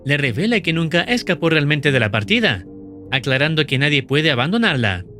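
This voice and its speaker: A man narrating in a steady, measured scripted voice-over, explaining the plot of a horror video series. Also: fairly high pitch. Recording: voice-over with faint music behind.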